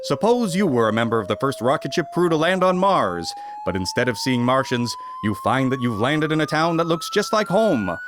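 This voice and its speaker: A man's voice: medium pitch, big pitch swings, moderate pace, background noise. A man reading a scripted announcement as a radio announcer in 1950s style. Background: music.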